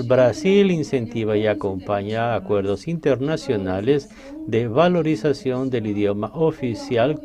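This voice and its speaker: A man giving a formal prepared speech as a government education representative, reading in a measured way. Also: medium pitch. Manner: reads a prepared statement, measured.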